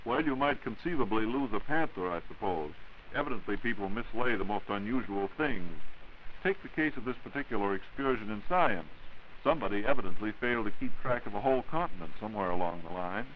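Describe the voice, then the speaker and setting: A man's voice: fairly low pitch, expressive, brisk. An American man hosting a scripted 1940s radio show in a wry, folksy manner. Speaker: radio host. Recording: muffled, hissy 1940s radio recording.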